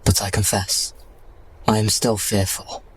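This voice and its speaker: A man's voice: lowish voice, flat delivery, measured pace, slightly rough, rough recording. A man playing an earnest, plain-spoken young titan prince in a fantasy audio drama. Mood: uneasy.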